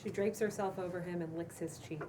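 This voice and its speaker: Lower-voiced woman reading stage directions, noisy room. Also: steady tone, a little fast. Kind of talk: scripted narration at a table read. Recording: distant mic, noticeable room noise.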